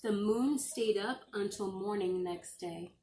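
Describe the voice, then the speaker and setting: Slow-paced woman reading a children's storybook. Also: mid-range voice, mellow, low-quality recording. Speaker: teacher reading aloud. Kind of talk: expressive storybook read-aloud for young children.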